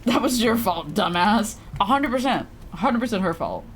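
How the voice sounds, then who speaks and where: A woman's voice: medium pitch, expressive, normal speed, noisy background. A woman streamer giving blunt, sarcastic, casual commentary on a game. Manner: blunt, sarcastic, swearing, giggly. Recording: faint game music behind.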